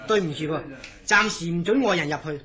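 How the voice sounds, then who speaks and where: A woman plays a police officer on guard in a radio play. The voice is lowish for a woman and quick, official and curt, with a Hong Kong Cantonese accent. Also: fairly bright tone, natural voice. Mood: firm. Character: firm, polite police officer guarding a hospital gate. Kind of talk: Cantonese horror radio drama acting.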